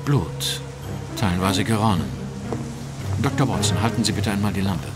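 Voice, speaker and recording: A man's voice: on the low side, normal speed, over loud music, natural voice. An actor playing a brilliant, self-assured male detective, precise and commanding, in a German audio drama. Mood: intent, brisk.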